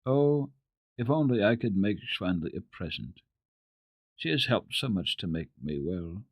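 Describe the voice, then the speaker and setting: Man voicing an earnest, excitable young girl, swooping pitch. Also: measured pace, slightly rough, no room sound, highs cut off, exaggerated voice. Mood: wistful, grateful. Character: grateful, tender-hearted young girl from the city. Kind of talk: dramatic children's storybook reading.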